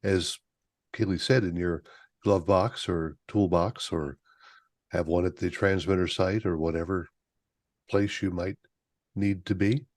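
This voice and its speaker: A man's voice: deep voice, fairly even intonation, a little slow, long pauses, no room sound, clean studio sound. A man casually hosting a gathering as moderator and inviting questions in an informal way. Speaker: meeting moderator.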